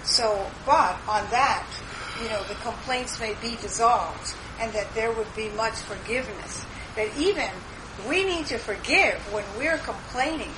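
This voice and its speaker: A woman's voice: a little high, measured pace. A woman thinking aloud as she shares a reflection at a church meeting. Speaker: church member sharing. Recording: noisy recording.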